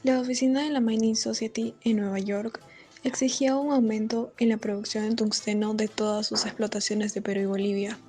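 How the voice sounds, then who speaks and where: A woman's voice: on the high side, steady tone, a little fast, dark tone, soft background music. A woman narrator reading scripted narration for an amateur radio serial.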